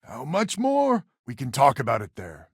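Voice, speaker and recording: A man's voice: medium pitch, huge pitch swings, moderate pace, gravelly, slightly rough, no echo, studio quality, full character voice. An actor playing a wry, weary male space colonist who heads a settler family in a video game, with a folksy, sardonic manner. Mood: determined.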